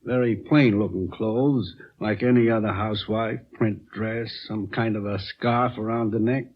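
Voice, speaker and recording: An actor playing a chatty, obliging middle-aged American grocer, folksy and rambling, in a 1950s radio drama. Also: male voice, fairly low pitch, fairly even intonation, a little slow. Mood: wistful, chatty. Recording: dull old radio recording.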